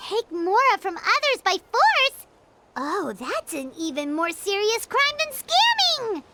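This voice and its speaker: A woman voicing a tiny, excitable, girlish fairy companion in a video game, eager and exclamatory. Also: very high voice, a little slow, very noisy, heavily acted. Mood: surprised, curious. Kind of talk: video game character acting.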